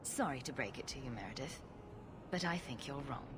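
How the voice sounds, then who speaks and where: A woman's voice: rumbling low, animated, brisk, background noise. An actress playing a sarcastic female rogue hero in a fantasy game, speaking in a dry, direct way. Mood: quietly firm. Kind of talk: fantasy video game acting.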